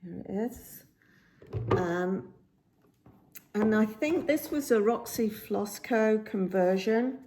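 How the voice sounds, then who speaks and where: A woman with a slightly croaky voice chats casually about her cross stitch projects, rambling with many ums and restarts, filmed on a phone at home. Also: mid-range voice, takes it slowly, pauses often, mellow, slight background noise. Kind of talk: casual show-and-tell chat. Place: indoors at home by a window. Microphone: phone camera.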